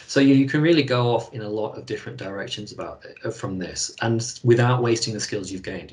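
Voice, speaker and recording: A man hosting a university webinar, speaking in a relaxed way with a few fillers. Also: fairly low pitch, recorded from a distance. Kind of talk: webinar presentation. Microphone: video call.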